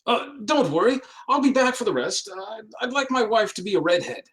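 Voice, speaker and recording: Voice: medium pitch, lively intonation, fairly quick, a bit bright, close to an everyday voice. An actor in a comedic script read voicing a bumbling, henpecked male sphinx cat husband, hesitant, shifty and chummy. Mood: sly, hesitant.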